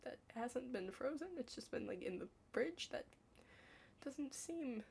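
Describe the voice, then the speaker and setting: A young adult woman doing an ASMR roleplay as a study partner, chatting casually in a hushed, halting, rambling way with lots of "like" and some whispering. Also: high-pitched, expressive, vocal fry, a little hiss or hum. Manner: rambling, halting, heavy use of "like".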